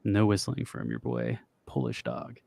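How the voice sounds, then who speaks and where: A young man with a low, raspy voice, chatting loosely and swearing casually as a podcast co-host. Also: sing-song, measured pace, dark tone, no room sound. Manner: loose and profane, trails off with fillers. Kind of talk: casual chat with guitar and singing.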